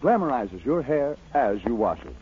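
A man's voice: medium pitch, very animated, normal speed. A man delivering a scripted 1940s radio commercial. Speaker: commercial announcer. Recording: dull old radio, faint music behind.

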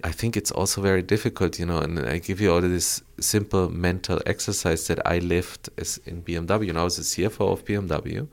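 A middle-aged man from Colombia talks as a podcast guest. His voice sits low and has a gravelly, creaky edge. He explains in long, patient runs and keeps asking "right?" to check the listener is with him. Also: fairly even intonation, a little fast, few pauses. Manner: explanatory, frequent tag "right?". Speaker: guest, former auto executive. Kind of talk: podcast interview.

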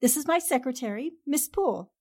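A woman playing a polite, cautious newspaperwoman in a 1940s-style radio drama, measured and reserved. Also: high-pitched, expressive, mellow. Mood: polite, composed.

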